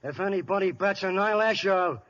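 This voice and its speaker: A menacing male gangster in a vintage radio comedy, slangy and quick to threaten. His voice sits high, and the old broadcast sound is dull and lo-fi. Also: fairly bright tone, slight background noise. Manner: menacing slangy tough-guy talk. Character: tough wisecracking male gangster with a gun. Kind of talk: 1930s radio comedy acting.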